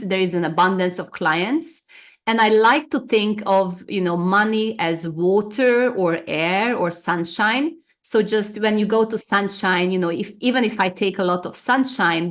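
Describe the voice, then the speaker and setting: A woman whose first language is Russian, a coach giving an interview and talking in a casual, hesitant way with many fillers and restarts. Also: mid-range voice. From former Soviet Union, Hungarian region. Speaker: interview guest, energy coach.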